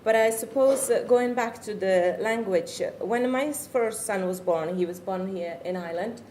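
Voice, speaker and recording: A woman's voice: mid-range voice, moderate pace, a little mellow. Middle-aged woman, Czech accent, reflective parent testimony, echoey room, faint background hiss. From Czech Republic. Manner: earnest, reflective, searching for words. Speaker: parent speaker. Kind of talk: personal testimony talk.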